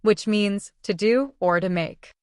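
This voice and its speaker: A woman's voice: medium pitch, takes it slowly, no echo, studio quality. Woman explaining a language lesson. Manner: even, explanatory delivery. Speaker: language teacher. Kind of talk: scripted lesson narration.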